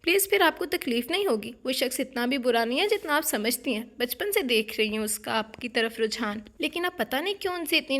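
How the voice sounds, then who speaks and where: A woman's voice: fairly high pitch, fairly bright tone. A woman voicing a caring younger sister who soothes and pleads with her older sister in an audiobook.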